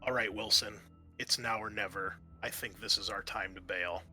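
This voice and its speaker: A man's voice: on the low side, steady tone, moderate pace, sharp tone, soft background music. A man voicing a scheming, mercenary woman in a sci-fi tabletop game, blunt and pressuring. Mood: urgent. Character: scheming, mercenary corporate woman aboard a doomed spaceship.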